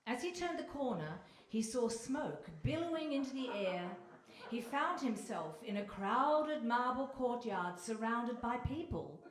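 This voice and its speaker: A woman's voice: fairly high pitch, expressive, measured pace. A woman narrating a scripted fairy-tale radio play live on stage. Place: live stage in a hall with audience. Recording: echoey hall, clearly noisy.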